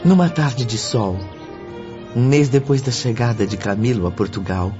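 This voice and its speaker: A man narrating a scripted radio drama in a solemn, literary, measured manner. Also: medium pitch. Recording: muffled radio drama with music bed.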